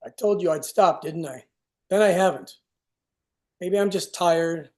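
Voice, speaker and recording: A man playing a tired, evasive, ashamed alcoholic father in a staged play reading, speaking haltingly. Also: high-pitched, darkish tone. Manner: hesitant, broken, halting phrasing.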